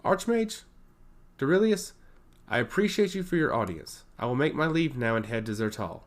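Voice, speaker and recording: A man voicing a calm, courteous envoy in a fantasy story, speaking formally and politely. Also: mid-range voice, normal speed, natural voice. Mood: courteous resolve.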